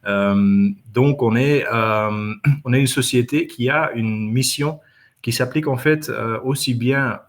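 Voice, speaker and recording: A man who is not a native French speaker, a company manager answering interview questions at a trade show, halting with many fillers and restarts. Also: medium pitch. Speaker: company country manager, guest.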